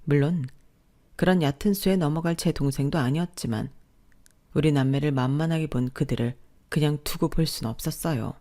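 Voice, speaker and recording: A woman's voice: low voice, a little fast, dark tone. A woman narrating a first-person family drama in an indignant, confiding tone. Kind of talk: scripted first-person storytelling.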